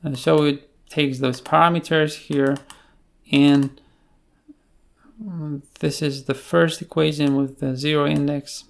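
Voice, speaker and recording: A man giving an unscripted tutorial explanation in a halting way, with many filled pauses. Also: on the high side, level tone, creaky. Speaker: programming tutorial presenter.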